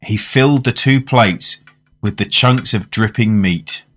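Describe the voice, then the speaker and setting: Actor as a low-voiced, genteel, droll storyteller. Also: male voice, fairly bright tone. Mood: wry storytelling. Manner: theatrical, measured, wry. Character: genteel, droll male storyteller and gourmet, morbidly fascinated. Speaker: actor and narrator in a radio drama. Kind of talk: dramatised first-person narration and dialogue.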